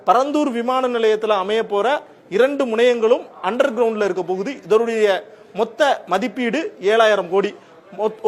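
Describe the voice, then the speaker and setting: High-pitched male news reporter. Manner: semi-formal, explanatory, listing points step by step. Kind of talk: explanatory news report over graphics.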